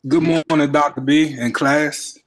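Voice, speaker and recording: A man's voice: on the high side, steady tone, unhurried. A man introducing himself as a student on a video call, polite and formal.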